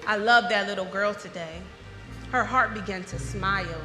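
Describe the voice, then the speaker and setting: Echoey, bright-voiced American woman performing a monologue. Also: medium pitch, soft background music. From United States (Philadelphia). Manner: emphatic, poetic delivery with deliberate pauses and stresses. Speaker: storyteller performing an autobiographical monologue. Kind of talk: dramatic spoken-word monologue performed live. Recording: echoey hall with long reverb.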